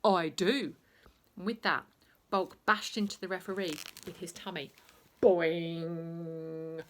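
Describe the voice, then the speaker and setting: A woman reads a children's bedtime story in a fairly low voice. She takes her time, pacing it very slowly, and plays up sound words theatrically. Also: little pitch movement, a little hiss or hum.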